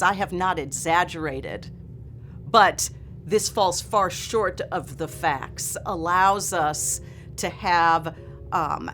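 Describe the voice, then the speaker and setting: Middle-aged woman prof talking books, lowish voice, really deliberate and emphatic, music under it. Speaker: scholar and teacher. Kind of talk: literary lecture and expressive reading aloud.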